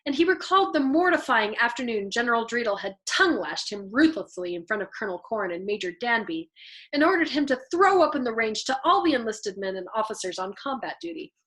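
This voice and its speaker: Female narrator for fiction read-aloud: expressive, very lively intonation, bright forceful tone. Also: on the high side, fairly quick. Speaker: storyteller, show host. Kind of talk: expressive audiobook-style narration.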